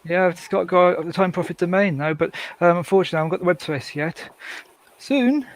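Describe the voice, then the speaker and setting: Welsh-accented male guest, native Welsh speaker, high-pitched and playful, chatting on a livestream panel. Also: quick pace. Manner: jokey, laughs between lines. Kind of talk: casual online panel chat.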